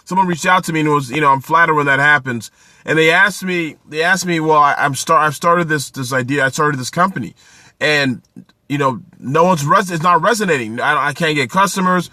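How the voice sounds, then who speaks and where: A man's voice: on the high side, a little fast, bright, forceful tone, no room sound. A man from Canada in his thirties, hosting a casual live stream outdoors, greeting viewers by name and giving upbeat business advice. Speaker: live-stream host, accountant and author. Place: outdoors in the sun.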